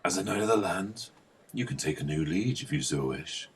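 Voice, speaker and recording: Man playing very deep-voiced gruff old lord. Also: lively intonation, normal speed, heavily acted. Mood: persuasive. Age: older. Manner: blunt, colloquial. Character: gruff old lord, shrewd, commanding war veteran. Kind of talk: fantasy audio drama acting.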